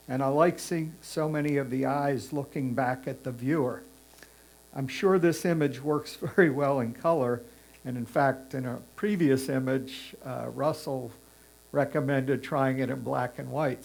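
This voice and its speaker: Gravelly-voiced man giving tactful critique. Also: medium pitch, a little mellow. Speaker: photo competition judge. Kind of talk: spoken image critique.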